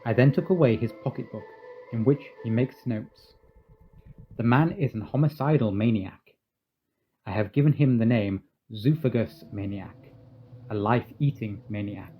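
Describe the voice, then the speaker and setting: A man playing an earnest, formal Victorian asylum doctor in a radio drama, speaking in a measured, grave way. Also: medium pitch, faint music behind, lightly acted. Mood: grave, troubled. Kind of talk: radio drama acting and narration. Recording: dull lo-fi audio, faint noise.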